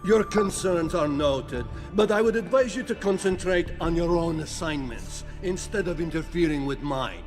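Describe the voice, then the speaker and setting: An actor playing a cold, commanding mercenary leader in a video game, formal and menacing. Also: male voice, on the high side, animated, normal speed, hardly any pauses, natural voice. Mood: cold, dismissive. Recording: music behind game dialogue.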